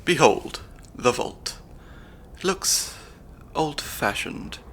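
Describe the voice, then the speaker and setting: A man's voice: on the high side, expressive, extremely slow, exaggerated voice. A young man voicing a nerdy, slightly anxious stand-in spy handler with dry wit, in an ASMR audio roleplay. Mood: analytical. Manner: analytical, dry wit, soft-spoken.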